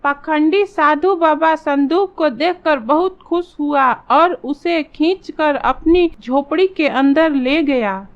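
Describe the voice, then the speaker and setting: A woman narrating a scripted children's story in a measured storytelling manner. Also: high voice. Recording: slightly dull, lo-fi sound.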